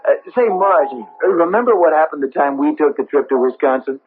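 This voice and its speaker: A chatty, jovial man at a party in an old radio play, voiced by an actor. His voice is on the higher side, full of social good cheer. It sounds thin and tinny, as on a vintage broadcast. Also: animated, brisk, noisy background, natural voice. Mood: amused. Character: jovial chatty male party guest telling travel anecdotes. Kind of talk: 1970s radio drama acting.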